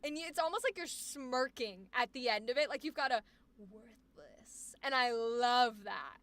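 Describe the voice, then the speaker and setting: A woman coaching voice actors on a live stream, reacting with effusive exclamations and quick asides. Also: on the high side, expressive, a bit bright. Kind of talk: live stream commentary on student recordings.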